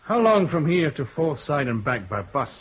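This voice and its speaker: An actor playing a determined police detective who talks briskly and gives orders. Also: male voice, on the high side, big pitch swings, dull audio, background noise. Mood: urgent. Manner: brisk, commanding.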